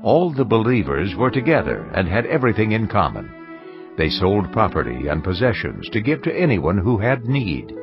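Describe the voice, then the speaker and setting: A man narrates dramatized scripture in a deep voice with plenty of melodic movement. It is scripted storytelling, with music clearly underneath. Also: wildly swooping pitch, moderate pace. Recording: dull sound with music underneath.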